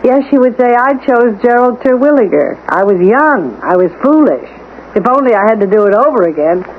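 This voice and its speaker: A woman acts out a brisk, self-possessed housekeeper, formal and quietly insistent with her gentleman employer. She speaks in the theatrical style of seventies radio drama. The sound is thin and tinny, with a light layer of noise. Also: a little high, expressive, close to an everyday voice. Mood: wistful, flattering. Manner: poised, formal and persistent. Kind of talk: 1970s radio drama acting. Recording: tinny, noisy old radio broadcast.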